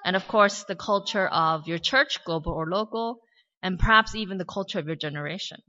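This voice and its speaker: Woman pastor, American accent, bright, clear voice. She preaches conversationally, asks searching questions and adds wry asides. Muffled, lo-fi sound. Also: on the low side, brisk, in a large hall. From born in Korea, raised in the US. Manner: reflective, asks many questions, light humorous asides. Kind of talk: conversational sermon. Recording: dull lo-fi recording.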